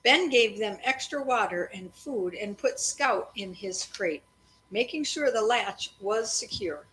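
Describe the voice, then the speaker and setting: A woman reading a children's book aloud to kids in a storytelling style, pausing to chat and ask them questions. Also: medium pitch, animated, a bit bright, low-quality recording. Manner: breaks off to address and question children.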